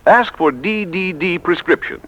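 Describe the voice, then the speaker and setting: Higher-pitched vintage male radio announcer. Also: animated, moderate pace, a bit bright. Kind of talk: 1940s scripted commercial announcing. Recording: dull vintage radio recording.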